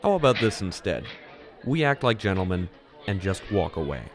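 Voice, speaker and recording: Man as deep-voiced, dry teenage hero. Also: faint music behind. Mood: calm, firm. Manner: measured, dry, deadpan. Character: calm, self-assured, dry teenage male hero. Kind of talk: audio drama acting.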